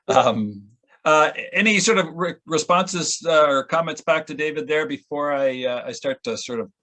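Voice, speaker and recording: Mid-pitched man casually moderating. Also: fairly bright tone. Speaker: panel presenter and co-writer.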